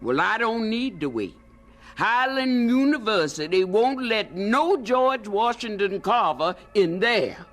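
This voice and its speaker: Older aunt, radio drama, slow drawn-out pace, swooping animated pitch, folksy, scolding, skeptical. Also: female voice, soft background music. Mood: scolding, disbelieving. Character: older plain-spoken skeptical aunt, proud but discouraging.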